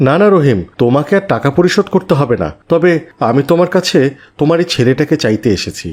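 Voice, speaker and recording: Man playing a kindly, very expressive rich gentleman. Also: a little high, fast talker. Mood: generous, reassuring. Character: kindly, wealthy, fatherly businessman. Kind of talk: children's moral cartoon dub.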